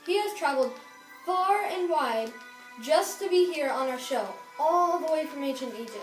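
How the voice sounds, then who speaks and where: A woman's voice: high voice, expressive, normal speed, faint music behind. A woman hosting a role-play interview in a cheerful, upbeat presenter manner, recorded in an echoey room from a distance. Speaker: interviewer host.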